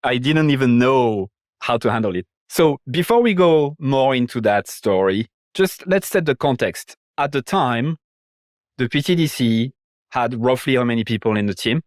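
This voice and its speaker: A man's voice: medium pitch, lively intonation, normal speed, dry recording. Blunt male interviewer, dry close sound. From Ireland or living there. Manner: blunt, sweary, informal. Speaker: interviewer, host and marketing consultant. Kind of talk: casual probing interview.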